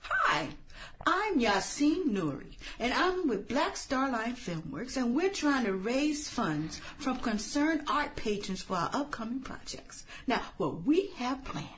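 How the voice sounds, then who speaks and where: A woman's voice: sits high, very animated, a little slow, crowd noise. An actress in a satirical radio drama playing a polite, earnest female fundraiser with a rehearsed, apologetic sales manner. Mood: earnest, eager.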